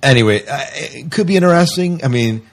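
A man's voice: mid-range voice, expressive, brisk, gravelly. A man co-hosting a podcast, chatting casually about sci-fi news. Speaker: podcast co-host.

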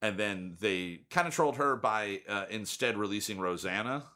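A man's voice: lowish voice, expressive, normal speed, bright, forceful tone. A young man hosting a casual podcast, rambling and chatty, with lots of fillers. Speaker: podcast host. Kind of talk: casual chat.

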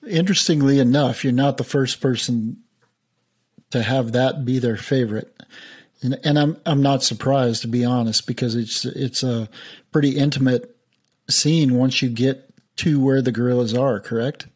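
A male interviewer with a slightly husky, breathy voice asks his questions conversationally. He sometimes restarts a phrase as he goes. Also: mid-range voice, steady tone, moderate pace, darkish tone.

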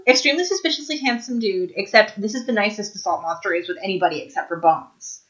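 A woman's voice: mid-range voice, animated, fast talker, a bit bright, dull audio. A woman co-hosting a podcast, recapping an episode in casual, enthusiastic chat and reading from her notes. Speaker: podcast co-host.

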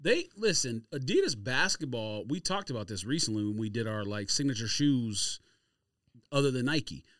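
A man's voice: medium pitch, expressive, moderate pace, fairly bright tone, dry recording, studio quality. A man hosting a podcast, chatting casually about sports and sneakers in an emphatic, opinionated, slangy way. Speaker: podcast host.

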